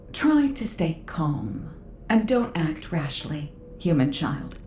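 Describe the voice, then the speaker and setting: An actress playing an apologetic, gentle non-human ally in a video game, with a measured, formal, anxious manner. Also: female voice, on the low side, slight background noise. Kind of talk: scripted game dialogue. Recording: roomy echo, faint ambience.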